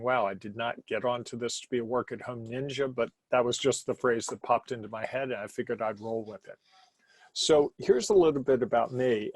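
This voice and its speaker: Man hosting a home-office webinar, loud voice reined in to a laid-back talk, dry mic. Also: medium pitch, dry recording. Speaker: webinar presenter.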